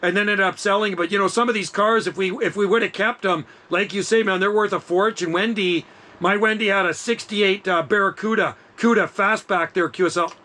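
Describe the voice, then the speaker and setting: A man's voice: sits high, fairly even intonation, fairly quick, noisy background. A man in his fifties chatting casually over two-way radio as an amateur operator, enthusiastic and easygoing, with plenty of slang. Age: 50s.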